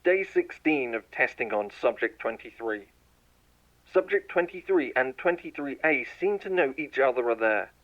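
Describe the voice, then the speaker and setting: A man playing a scientist dictating lab test logs in a measured, clinical way, in a scripted horror audio story. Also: mid-range voice, animated, a bit bright, dull audio. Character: male lab scientist logging tests, growing frightened.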